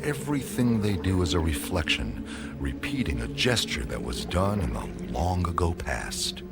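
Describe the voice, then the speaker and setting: A man narrating a scripted radio drama as a storyteller, with deliberate phrasing. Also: low-pitched, sing-song, creaky, very noisy. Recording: loud music under the voice.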